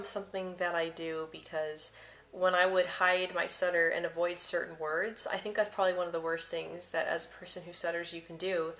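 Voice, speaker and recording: A woman's voice: on the low side, a bit bright, a little hiss or hum. A young woman vlogger who stutters, often repeating words and first sounds as she talks to camera and shares personal tips.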